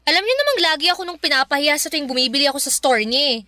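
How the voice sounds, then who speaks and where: A woman's voice: sits high, lively intonation, very fast, pushed and bright, dry recording. A woman playing a quirky, stubborn, easily annoyed teenage Filipina girl in a radio drama, with a whiny, exasperated manner. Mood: reluctant, complaining.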